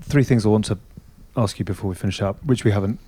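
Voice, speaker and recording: A man's voice: on the low side, fast, mellow, husky. A man hosting a rugby podcast, asking long, rambling questions in casual chat. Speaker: podcast host.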